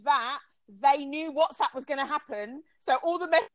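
A woman's voice: high voice, moderate pace, no echo. A young British woman hosting a podcast, chatting casually and laughing often. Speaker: podcast host. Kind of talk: casual chat.

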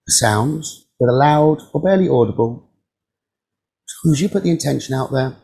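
A man leading a guided meditation as a teacher, giving calm, soothing instructions with frequent pauses. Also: medium pitch, creaky.

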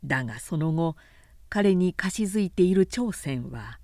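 A woman reading a historical novel aloud as a narrator, in a measured, deliberate storytelling manner. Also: lowish voice, animated, dark tone, no room sound, clean studio sound. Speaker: narrator, literary reader.

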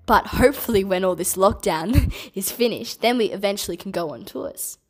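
A woman's voice: medium pitch, lively intonation, moderate pace. A woman in her twenties with an Australian accent, a children's entertainer answering kids' questions from home, bubbly and enthusiastic. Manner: bubbly, enthusiastic, speaks gently to kids.

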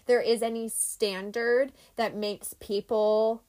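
A woman in her twenties talking casually on a podcast, chatty and animated, with lots of fillers and laughter. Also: fairly high pitch, slow pace. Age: 20s. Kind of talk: casual chat.

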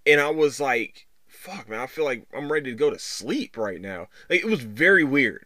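A man's voice: mid-range voice, bright, forceful tone, no echo. Man rambling casually in a dry, close recording. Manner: rambling, halting, with repeated words and frequent swearing. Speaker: podcast host. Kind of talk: casual solo podcast monologue.

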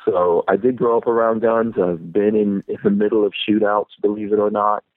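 Low-voiced guy on a phone line, laid back, rambles a bit, dry sound. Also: little pitch movement, normal speed, no room sound. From New York City. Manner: easygoing, reflective, rambling with restarts. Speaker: guest, YouTuber. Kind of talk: casual interview chat.

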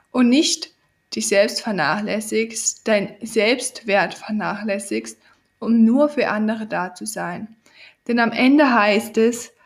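A woman with a cold hosting a podcast, giving an earnest motivational monologue straight to the listener. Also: fairly high pitch. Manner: earnest, coaching, addresses listener directly. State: has a cold. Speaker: podcast host.

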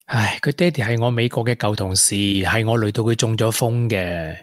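An actor playing a regretful former tech prodigy in a radio drama, with a Hong Kong accent and earnest delivery. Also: male voice, fairly low pitch, normal speed, no room sound, studio quality, natural voice. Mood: confessional. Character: regretful young ex-tech prodigy, confessing to a woman. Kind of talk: Cantonese radio drama acting.

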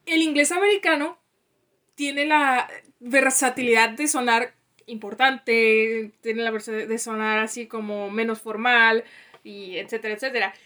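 A woman's voice: on the high side, fairly even intonation, a little slow, pushed and bright. A young woman with a northern Mexican accent, chatting casually with her sister and teasing her. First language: Spanish. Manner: chatty, teasing, laughs often. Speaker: co-host, sister. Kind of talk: casual chat.

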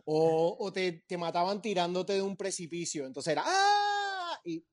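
A man's voice: high voice, very animated, unhurried. A man with a Puerto Rican accent chatting casually as he interviews guests. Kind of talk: casual chat.

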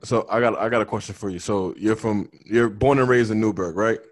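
Low-voiced casual male interviewer. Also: a little fast. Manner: slangy, friendly, stacks hesitant restarts.

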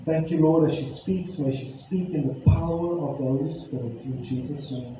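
A male pastor introducing a sermon series and praying, earnest and reflective, pausing with fillers. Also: a little high, warm tone, soft background music. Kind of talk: sermon and prayer. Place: church hall. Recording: very echoey church hall, faint ambience.

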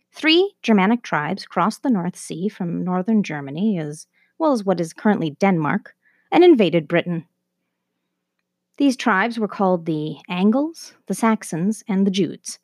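A woman's voice: mid-range voice, darkish tone, no echo, studio quality. A woman presenting a scripted educational narration in a conversational way, as the host of a history lesson.

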